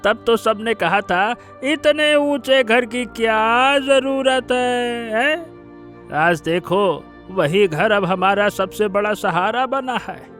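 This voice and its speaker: Man playing slow, high-voiced contented family father. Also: fairly bright tone, soft background music. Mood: proud. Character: contented, proud family father, fond husband. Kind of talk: cartoon story dialogue.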